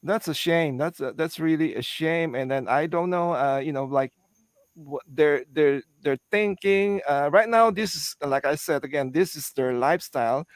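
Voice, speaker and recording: A man's voice: fairly high pitch, normal speed, no room sound. A man from the Philippines hosting a casual live-stream chat, who talks hesitantly with many fillers and restarts. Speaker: host.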